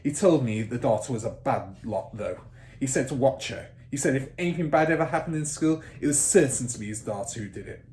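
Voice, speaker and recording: A man's voice: mid-range voice, expressive, brisk, darkish tone, slight background noise, close to an everyday voice. A man voicing a fierce, bullying middle-aged headmistress in a children's storybook, barking and snorting with scorn. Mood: gruff, assertive. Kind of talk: children's storybook character reading.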